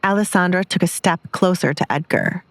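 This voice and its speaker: A woman narrates a scripted drama. Her pitch is on the low side, and the sound is dry, with no room on it. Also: a little fast, dry recording, soft background music.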